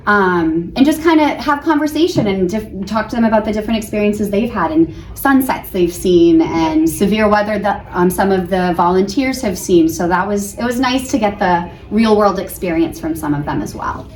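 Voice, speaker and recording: A woman's voice: medium pitch, normal speed, few pauses, a little mellow. A woman from New Hampshire with an American accent, a teacher giving chatty, earnest answers in a casual interview. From New Hampshire, United States. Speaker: elementary school teacher being interviewed. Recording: roomy, distant mic, faint background noise.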